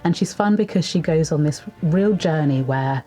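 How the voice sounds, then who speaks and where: Low, mellow woman in interview. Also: normal speed, poor audio. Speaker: actress interviewed behind the scenes. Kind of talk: casual interview talk. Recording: music behind the voice.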